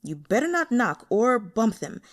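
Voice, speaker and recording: A woman's voice: fairly high pitch, sing-song, a little slow, pitched above the performer's own voice. A woman voicing a self-important male underling in a fantasy audiobook, pompous and commanding. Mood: bossy, warning. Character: bossy, self-important male underling ordering servants around.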